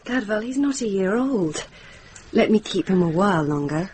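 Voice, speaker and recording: An actress playing a protective young medieval gentlewoman, wife and mother, in a radio drama, tender but gently firm. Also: medium pitch, animated, moderate pace, slight background noise, natural voice. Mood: gently chiding.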